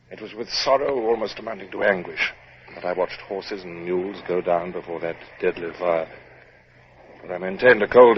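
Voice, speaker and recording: A man playing a grave British naval captain in a vintage radio drama, giving crisp formal orders and troubled, reflective narration. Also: fairly low pitch, close to an everyday voice. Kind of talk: 1950s radio drama acting. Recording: dull, noisy vintage 1950s radio recording.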